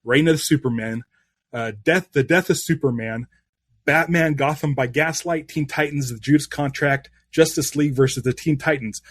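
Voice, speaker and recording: A man's voice: a little high, moderate pace, husky, dry recording, clean studio sound. A man hosting a live-stream interview show, eager and rambling with plenty of restarts.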